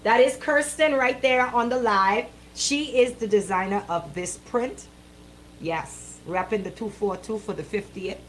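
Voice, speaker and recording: A woman's voice: a little high, measured pace, slight background noise, low-quality recording. Playful Bahamian woman hosting live radio. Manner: playful, animated, colloquial. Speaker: radio show host. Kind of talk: casual radio banter on a live show.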